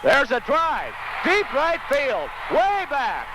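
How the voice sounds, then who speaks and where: A man's voice: extremely high pitch, wildly swooping pitch, takes it slowly. An American man calling live baseball play-by-play on the radio in clipped, punchy phrases. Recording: loud stadium crowd and noise. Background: roaring ballpark crowd.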